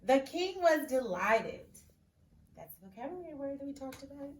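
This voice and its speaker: Echoey, higher-voiced woman reading to children. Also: big pitch swings. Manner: instructive, emphatic, pauses to question and explain. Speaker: teacher reading a picture book aloud to pupils. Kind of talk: expressive story read-aloud with questions to children. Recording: echoey room, distant mic, faint noise.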